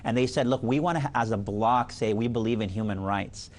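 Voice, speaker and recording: A man's voice: lowish voice, brisk. A man speaking as an expert panelist, explaining in articulate, formal, lawyerly wording. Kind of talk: explanatory discussion.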